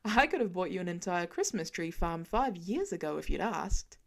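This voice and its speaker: A woman voicing a wry, self-assured grown man, lowering her voice to play him in a fan audiobook reading. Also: expressive, normal speed, creaky.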